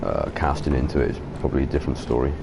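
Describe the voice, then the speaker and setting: A man with a deep voice chatting casually while streaming a game from his bedroom over a headset mic. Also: steady tone, very fast, vocal fry, very noisy. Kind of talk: casual live gameplay commentary. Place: hot bedroom. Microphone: console headset mic.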